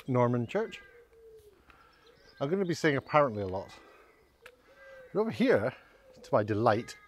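A man's voice: medium pitch, slight background noise. A middle-aged man giving casual, self-deprecating commentary to a handheld camera as he walks outdoors. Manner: self-deprecating, wry, hesitant asides. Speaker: vlogger, walking guide. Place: outdoors on village streets and country lanes. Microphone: handheld camera at arm's length.

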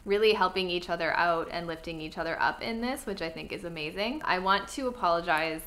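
Bright-voiced young woman vlogging, slightly stuffy. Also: on the low side. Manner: earnest, chatty, lots of fillers. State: slightly congested from a cold. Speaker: style vlogger. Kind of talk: casual talk to camera. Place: home room with clothing rack.

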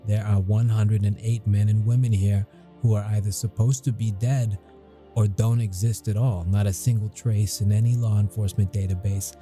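An actor playing a grumpy but caring military intelligence interrogator in an ASMR audio roleplay, speaking in measured, halting phrases. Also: male voice, on the low side, dark tone, lightly acted. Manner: measured, halting, deliberate delivery.

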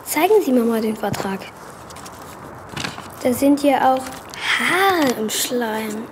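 Analytical female spaceship assistant for audio drama: matter-of-fact, slow, high voice, faint ambience. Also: animated. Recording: long reverb, faint ambient noise.

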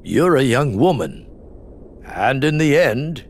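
An actor playing a stately elderly emperor, stern yet benevolent, speaking formally and with solemn measure in a game cutscene. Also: male voice, mid-range voice, sing-song, slow, slight background noise.

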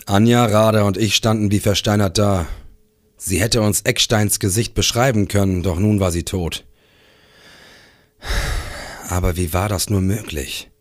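A man's voice: fairly low pitch, fairly even intonation, measured pace, long pauses, no echo, clean studio sound, close to an everyday voice. A man in a crime radio play, playing a driven, probing police detective who also narrates his case. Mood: grave, despairing. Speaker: radio drama actor. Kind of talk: German crime radio play acting, with first-person narration.

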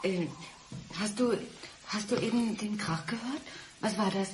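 A woman's voice: medium pitch, unhurried, a little hiss or hum, close to an everyday voice. An actress playing an uneasy, guarded woman afraid of her unstable ex, speaking hesitantly in a radio crime drama.

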